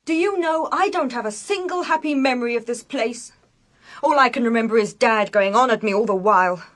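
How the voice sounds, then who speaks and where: A woman's voice: a little high, a little fast, lightly acted. A woman playing an earnest, sensitive young village woman in a British radio drama. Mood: wistful.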